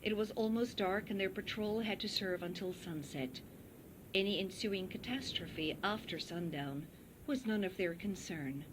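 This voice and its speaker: A woman's voice: mid-range voice, measured pace. A woman reading a horror story as a narrator, in a dramatic scripted delivery. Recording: muffled recording with heavy noise.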